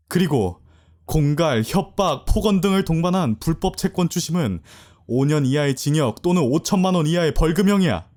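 남자가 연기하는 자신감 있는 법대생 청년. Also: male voice, a little high, natural voice. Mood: assertive. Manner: cool and assertive, then polite and gentle. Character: young male law student, confident and sharp-tongued. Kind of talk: amateur audio drama acting.

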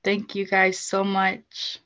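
Young woman on a laptop mic, kinda hesitant, lots of ums, pretty monotone. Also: medium pitch, slow pace, muffled recording. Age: young adult. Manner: soft-spoken, hesitant with many ums. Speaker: guest speaker. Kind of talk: casual talk on a video call. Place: small room at home. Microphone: laptop webcam mic on a video call.

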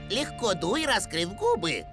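A woman's voice: medium pitch, big pitch swings, measured pace, over music. A woman voicing a small green magic creature, smug and fussy, in a dubbed cartoon. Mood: instructive.

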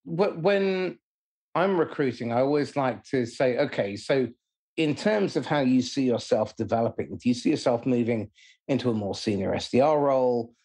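A man's voice: medium pitch, moderate pace, a little mellow, breathy edge. A man hosting a podcast, asking curious questions and laughing easily. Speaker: podcast host. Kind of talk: interview questions.

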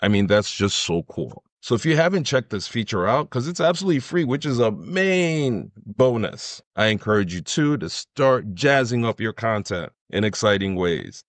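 A middle-aged man explaining software in an enthusiastic, conversational tutorial style. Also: lowish voice, sing-song, moderate pace, few pauses, no room sound. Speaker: tutorial host.

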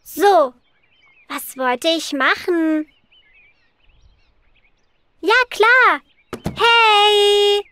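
A woman's voice: very high-pitched, animated, drawn-out words, pauses often, bright, forceful tone, heavily acted. A woman voicing a curious, caring little girl who wonders aloud expressively in a German children's cartoon. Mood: busy, exclaiming.

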